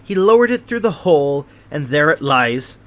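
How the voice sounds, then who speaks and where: A man voicing an excitable, fragile hypochondriac gentleman who chatters eagerly in a high voice, in a storybook reading. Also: moderate pace. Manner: high voice rising above the noise, eager chatter.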